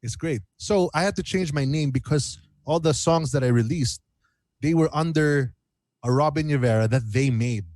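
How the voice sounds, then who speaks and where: Casual, animated man with a close, dry sound. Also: on the high side, normal speed, dry recording. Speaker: singer-songwriter guest. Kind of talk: casual radio interview chat.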